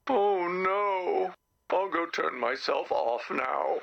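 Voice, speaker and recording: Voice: medium pitch, slow pace, gravelly. An actor voicing a small, innocent, childlike robot in a video game, easily upset, in dull lo-fi sound. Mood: sad. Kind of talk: scripted video game voice acting.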